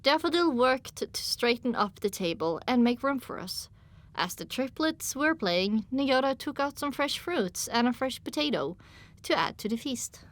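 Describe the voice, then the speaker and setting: A woman's voice: sits high, expressive, normal speed. A woman reading scripted first-person story narration as an audiobook narrator.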